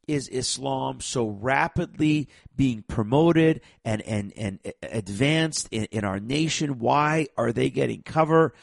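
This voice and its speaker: Raspy man with a cold, gravelly. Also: medium pitch, no room sound, studio quality. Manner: forceful, with heavy stressing and stammered restarts. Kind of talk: emphatic monologue to viewers.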